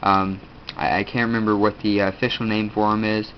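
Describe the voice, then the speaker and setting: A man's voice: lowish voice, little pitch movement. A teenage boy with a stuffed-up nose, casually showing his kit and rambling with lots of fillers. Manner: rambling, halting, many fillers. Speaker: video host. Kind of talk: casual gear show-and-tell. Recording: dull lo-fi audio, faint room noise.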